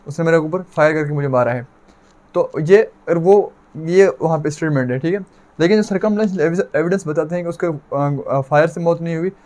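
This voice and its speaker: A Pakistani man with a fairly high-set voice delivers an explanatory lecture. He teaches in a didactic way, slipping into English legal terms. He often asks a question, answers it himself and repeats the key phrase for emphasis. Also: a little mellow. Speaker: law lecturer.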